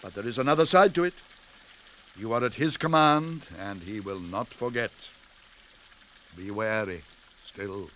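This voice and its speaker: An actor playing a wise, stern old wizard in British fantasy radio drama, with measured, weighty, archaic speech. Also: male voice, on the low side, fairly bright tone, a little hiss or hum. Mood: kindly, cautioning.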